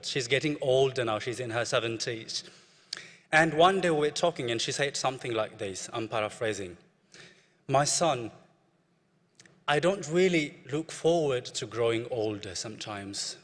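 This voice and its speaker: A young man with an Ethiopian accent speaks at a medium pitch. He presents research in the form of a personal story, as a student speaker would at a conference. His voice rings through a hall with long reverb. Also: fairly bright tone. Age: young adult.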